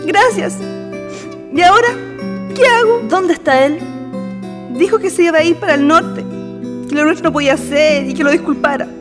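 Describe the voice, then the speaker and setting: Woman acting a very high-voiced emotional young sweetheart. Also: moderate pace, long pauses, over music. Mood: distraught. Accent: Chilean. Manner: emotional, pleading. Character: lovelorn young women, tearful sweetheart and her concerned friend. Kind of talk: radio drama acting.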